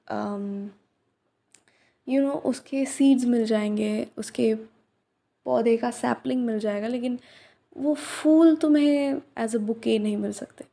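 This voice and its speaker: A woman in a soft-spoken roleplay, playing a young flower shop owner who teases gently and drops into breathy whispers. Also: fairly high pitch. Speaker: voice actress in a listener roleplay. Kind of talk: ASMR roleplay, one side of a conversation.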